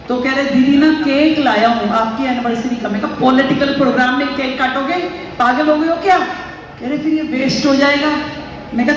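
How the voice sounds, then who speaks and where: High-voiced woman speaking in an echoey hall. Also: slight background noise. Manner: chatty, anecdotal, raising her voice for punchlines. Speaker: political speaker. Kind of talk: public speech at a ceremony. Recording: echoey hall, mic from far off.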